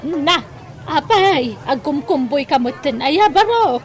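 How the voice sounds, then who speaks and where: Humble, high-voiced Ilocano mother. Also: lively intonation, slow, faint music behind, some chatter behind. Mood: surprised, friendly. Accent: Filipino, Ilocano. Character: humble, apologetic older mother, worried village woman. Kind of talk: Ilocano radio drama acting.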